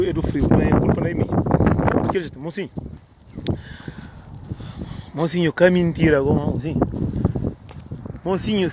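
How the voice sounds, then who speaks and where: A man's voice: high-pitched, heavy background noise. A man from Cape Verde vlogging outdoors in the cold, rambling and repeating himself in a playful way.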